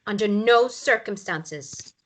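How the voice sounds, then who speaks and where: A woman reading the part of a stern, guarded single mother in a drama table read, brisk and curt. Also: mid-range voice, poor audio. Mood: firm, strict.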